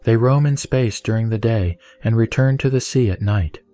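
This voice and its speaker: Man, low mellow voice, steady scripture reading, dry recording, soft music. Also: normal speed, no echo. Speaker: sutra reciter. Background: soft background music.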